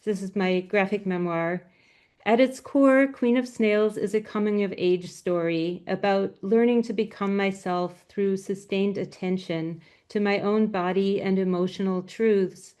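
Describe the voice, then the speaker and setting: A soft-spoken woman gives a presentation as a guest speaker. Her voice is quiet but smooth and clear, at a middle pitch for a woman. It carries the slight thinness of a video call. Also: measured pace. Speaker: guest speaker, graphic novelist.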